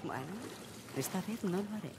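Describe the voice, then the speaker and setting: Tough smuggler, Castilian Spanish, expressive. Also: female voice, on the low side, moderate pace, very noisy. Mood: wry, relaxed. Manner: commanding, no-nonsense. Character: tough, decisive woman smuggler in a ruined world. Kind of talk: dramatic video game voice acting.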